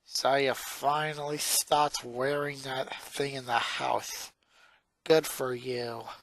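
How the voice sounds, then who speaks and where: A performer voicing a four-year-old girl genius from a cartoon fan story, monotone and sarcastic, with pedantic, wordy phrasing. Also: mid-range voice, takes it slowly, vocal fry, a little hiss or hum. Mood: dry, sarcastic.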